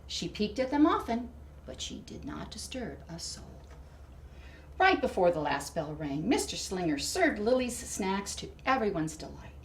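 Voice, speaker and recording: A woman reading a children's picture book aloud expressively, with dramatic emphasis and hushed asides to her listeners. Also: medium pitch, big pitch swings, unhurried. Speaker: storybook reader. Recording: distant mic, faint background noise.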